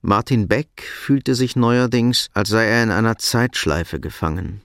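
A man's voice: lowish voice, unhurried, a bit bright, no room sound, studio quality. A man reading scripted literary narration for a radio drama.

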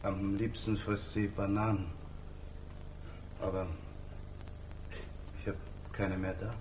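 A man's voice: sits low, flat delivery, takes it slowly, a little mellow. A man playing a polite, eager, eccentric painter in a 1950s German radio play. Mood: subdued, hesitant. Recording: vintage 1950s radio recording, clear background noise.

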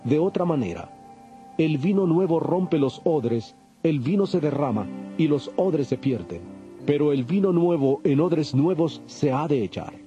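Man playing calm authoritative teacher, mellow tone, solemn instruction, audio Bible drama, dull sound, faint music. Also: on the high side, measured pace. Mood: solemn teaching.

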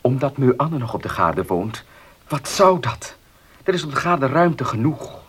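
An actor in a Dutch radio drama playing a stubborn, embittered farmer, with a gruff, earnest, slightly husky way of speaking. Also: male voice, mid-range voice, very animated, unhurried, fairly bright tone, exaggerated voice. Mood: earnest, pleading.

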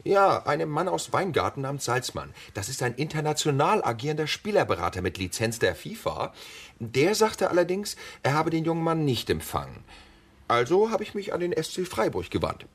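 Casting: pedantic, earnest police detective for radio drama, animated delivery, slightly husky. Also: male voice, on the high side, fairly quick, heavily acted. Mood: earnest, explaining. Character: eager, talkative, pedantic male police detective. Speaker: actor in radio crime drama. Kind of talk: German radio play acting.

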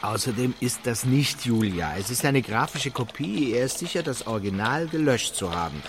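An actor playing a flippant, sardonic designer in a naturalistic radio drama, teasing and slangy. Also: male voice, medium pitch, animated, moderate pace, slight background noise, lightly acted. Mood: reasoning, exasperated. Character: flippant, sardonic male designer, programmer's buddy.

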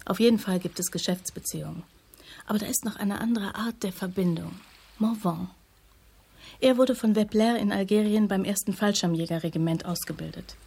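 An actress playing a sober, knowing investigative journalist in a radio drama, direct and matter-of-fact. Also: female voice, mid-range voice, gravelly, darkish tone, breathy edge. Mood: sober, explaining. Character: sober, knowing female investigative journalist, loyal old friend.

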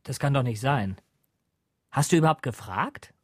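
A man's voice: mid-range voice, animated, moderate pace, husky, no room sound, clean studio sound. A man playing a stubborn, superstitious young ex-convict drifter in an audio drama. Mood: defiant.

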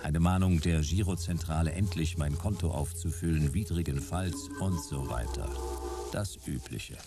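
A man's voice: a bass voice, normal speed, loud music behind the voice. A man playing a dry, weary private detective and war veteran in a radio play, narrating and talking in laconic, sardonic lines. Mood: dry, resigned. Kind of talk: first-person noir radio play narration and dialogue.

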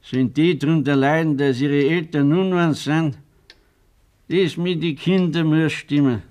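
A man's voice: fairly high pitch, fairly even intonation, unhurried, a little mellow, natural voice. An actor playing a stern, blunt old farmer father with a Bavarian accent in a German radio play. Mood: gruff, scolding. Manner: scolding, blunt, rustic.

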